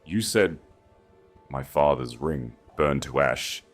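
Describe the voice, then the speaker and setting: Man reading a resolute young princess, very deep. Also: animated, faint music behind, full character voice. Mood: accusing. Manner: declarative, commanding, measured. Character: resolute young rightful princess with regal authority.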